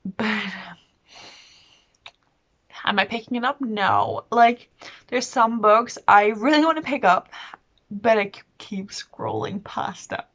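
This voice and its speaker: A woman's voice: medium pitch, sing-song, slow, pauses often, fairly bright tone, highs cut off. A woman talking casually as a book vlogger, in an enthusiastic, rambling and self-deprecating way. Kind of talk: casual chatty monologue to camera.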